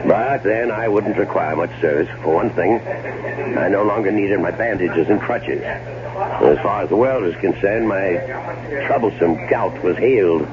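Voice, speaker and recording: A man acting in a 1970s American radio drama as a fussy, nervous middle-aged gentleman traveler who also narrates. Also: deep voice, a little slow, a crowd in the background. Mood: wry, brooding. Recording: dull 1970s radio recording, loud noise.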